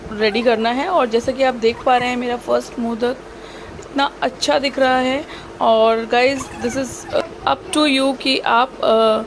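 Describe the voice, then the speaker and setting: A woman's voice: on the high side, creaky, low-quality recording. A young woman with an Indian accent and a cold and sore throat, casually explaining a recipe as host of a cooking channel, switching between Hindi and English. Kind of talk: casual recipe demonstration voice-over. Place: home kitchen. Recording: home video with noticeable background noise.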